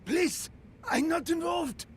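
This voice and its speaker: Nervous doctor, Chinese accent. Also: male voice, very high voice, a little hiss or hum. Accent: Chinese-accented English. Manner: halting, broken English. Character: nervous middle-aged male doctor, evasive.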